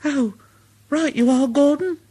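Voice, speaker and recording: A man voicing a small, cheeky young tank engine in a storybook reading, with a high, squeaky, flustered voice. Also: unhurried, warm tone. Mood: contrite.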